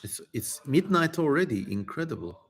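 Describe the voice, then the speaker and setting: A man hosting a live reading stream, commenting casually and wryly with hesitant pauses. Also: medium pitch, very animated, slight background noise. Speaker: host of a dramatic reading livestream. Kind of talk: live stream commentary between passages of an old text.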